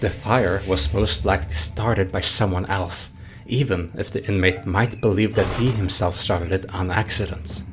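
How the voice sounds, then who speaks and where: Deep-voiced man narrating analysis. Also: steady tone, background noise. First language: Norwegian. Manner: analytical, measured. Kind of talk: scripted narration. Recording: voice-over over loud game music.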